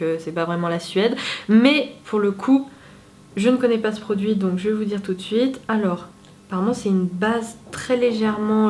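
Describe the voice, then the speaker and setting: A woman's voice: mid-range voice, darkish tone. Chatty young woman with a head cold. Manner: chatty, candid, self-mocking. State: stuffy with a cold. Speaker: beauty vlogger. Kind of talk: casual chat to camera.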